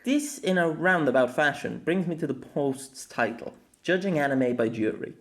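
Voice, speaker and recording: A man narrating a scripted opinion essay as host, in deliberate, formal phrasing with colloquial asides. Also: a little high, animated. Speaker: host of an anime audio essay.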